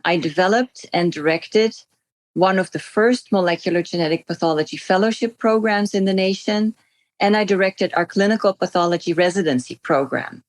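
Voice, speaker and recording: A middle-aged woman from the Netherlands, a native Dutch speaker, answering interview questions as a physician guest in articulate, measured phrases. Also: on the low side, dry recording.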